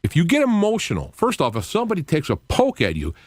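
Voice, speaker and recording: An older man, around sixty, hosting a radio show with an earnest, reflective, unscripted monologue in halting phrases. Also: fairly high pitch, wildly swooping pitch, no room sound, clean studio sound. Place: cluttered home radio studio, indoors. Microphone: close studio microphone with headset.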